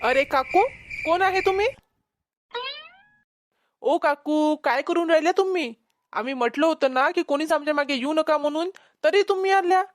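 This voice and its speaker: A woman's voice: high voice, moderate pace, long pauses, close to an everyday voice. A woman with a Vidarbha Marathi accent voicing an officious, suspicious rescue worker who questions and instructs, in a cartoon comedy. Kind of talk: amateur cartoon comedy voice acting.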